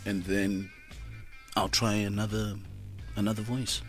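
A man's voice: lowish voice, little pitch movement, unhurried, husky, faint music behind. A man, a voice-over artist chatting casually in a radio studio, laughing often.

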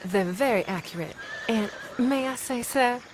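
A woman's voice: a little high, moderate pace, natural voice. Lady acting as respectful earnest young assistant in a radio play, polite, noisy background. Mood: admiring, honored. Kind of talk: audio drama acting.

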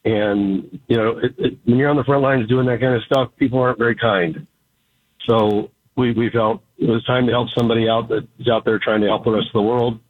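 A man who founded a company, a guest on a radio talk show, raspy-voiced and relaxed, talking with lots of fillers. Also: mid-range voice, brisk, no room sound. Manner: relaxed, grateful, hesitant with many fillers. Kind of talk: casual radio interview. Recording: dry, tinny phone line.

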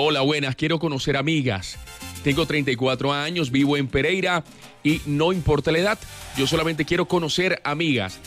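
A man hosting a late-night radio show, talking in an animated, upbeat way and now and then singing short lines. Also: mid-range voice. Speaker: radio host. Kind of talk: lively radio presenting. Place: radio studio.

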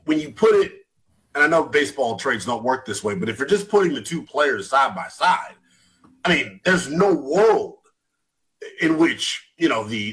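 A man's voice: mid-range voice, fairly bright tone, slightly rough, low-quality recording. A man in his twenties hosting a sports talk radio show, often rephrasing himself as he goes. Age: 20s.

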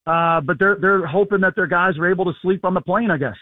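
Hoarse man on a tinny phone line. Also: sits high, quick pace, dry recording. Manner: rambling, easygoing, many fillers. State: hoarse, losing his voice. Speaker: sports reporter calling in. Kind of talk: casual radio phone interview. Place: abroad, away from the studio. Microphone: phone call.

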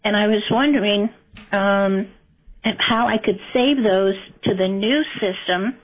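A woman's voice: mid-range voice, measured pace. An older woman calling into a radio show, hesitant with many ums as she asks her question. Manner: hesitant, lots of ums, sentimental. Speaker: caller. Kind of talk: phone-in question. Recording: dull lo-fi call audio.

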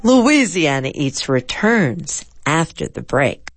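A woman's voice: low voice, sing-song, takes it slowly. A woman hosting a food radio program, speaking in an effusive, emphatic manner. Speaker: radio host and interviewer. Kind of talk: food interview and scripted radio narration.

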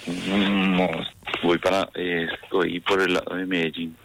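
A man's voice: low-pitched, level tone, unhurried, gravelly, background noise. A man on a phone call, puzzled and often asking the caller to repeat herself. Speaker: call recipient. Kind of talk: phone conversation.

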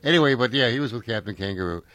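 A man's voice: medium pitch, animated, racing, a bit bright, no room sound. An older man, hosting a casual chat and reminiscing in a rambling way, full of fillers and restarts.

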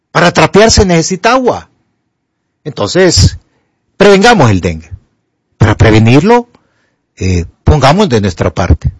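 Man, older, Honduran Spanish accent, radio doctor, emphatic, husky, dry close sound. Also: a little high, dry recording. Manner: animated, emphatic, rises to pleading. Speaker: radio health show doctor. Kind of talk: radio call-in advice.

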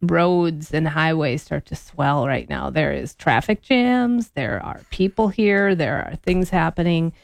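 A woman co-hosting a casual morning radio show, speaking quietly and with self-deprecating humor into a studio microphone. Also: low voice, measured pace, gravelly, few pauses, dry recording.

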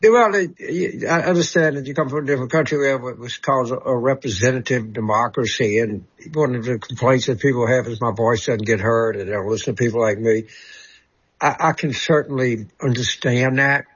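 A male political commentator answers callers with a husky voice. He halts often and repeats words as he searches for what to say. The sound is a little dull and muffled. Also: mid-range voice, fairly quick, a bit bright. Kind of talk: call-in talk show guest.

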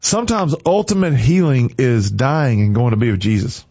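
A man's voice: mid-range voice, animated, normal speed, warm tone, breathy edge, dry recording. Fervent radio preacher man. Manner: fervent, preachy, repeats short commands. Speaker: morning show radio host. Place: radio studio. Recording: dry, slightly muffled studio.

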